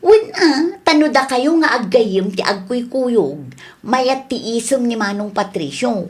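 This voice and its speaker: Radio drama role: nosy, chatty villager, slightly high female voice played by a woman. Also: sing-song, unhurried. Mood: confiding. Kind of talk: Ilocano radio drama acting.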